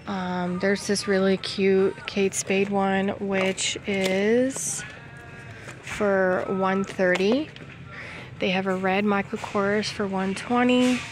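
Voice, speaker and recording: A woman in her thirties narrates a shopping vlog in a casual way. Her pace is slow, with pauses between items, and her pitch is average. There is clear store ambience behind her voice. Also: noisy background. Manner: unhurried, item-by-item commentary with pauses. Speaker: vlogger. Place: retail store aisles, some in a parked car.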